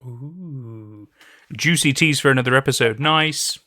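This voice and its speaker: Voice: medium pitch, unhurried, pushed and bright. A podcast host in casual chat, reacting to a guest's story with short, enthusiastic interjections.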